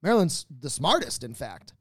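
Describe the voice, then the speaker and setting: A man's voice: a little high, big pitch swings, normal speed, fairly bright tone, breathy edge, no room sound, clean studio sound. A man co-hosting a podcast in casual chat, with an opinionated, teasing manner. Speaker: podcast co-host.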